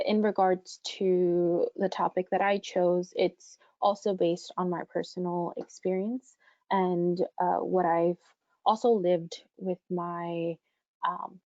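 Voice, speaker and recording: A woman's voice: lowish voice, little pitch movement, takes it slowly, a little mellow, no echo. Earnest young woman, native Spanish speaker. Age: young adult. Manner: earnest, emphatic, building conviction. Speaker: college student advocate. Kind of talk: personal testimony on a panel. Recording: muffled dry online audio.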